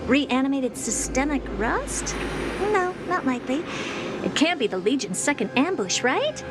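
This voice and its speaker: A woman's voice: high-pitched, big pitch swings, measured pace, very noisy. An actress playing an aloof, condescending woman scientist in a video game, blunt and offhand. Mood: dismissive. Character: haughty, aloof genius woman scientist, dismissive and impatient. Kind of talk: video game character acting.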